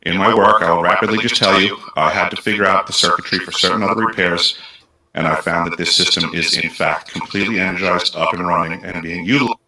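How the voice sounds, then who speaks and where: Man, deep but sharp-edged tone, earnest testimony; roomy, slightly noisy clip. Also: fairly even intonation, fast. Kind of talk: recorded testimony. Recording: echoey room with faint noise.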